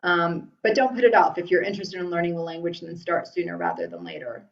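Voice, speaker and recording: Woman hosting a webinar from home, rambly and casual, lowish voice, echoey dull call audio. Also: fast talker, vocal fry. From Iran. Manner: chatty, frequent ums and restarts. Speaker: webinar speaker answering viewer questions. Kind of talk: casual live webinar talk. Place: room at home. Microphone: video call.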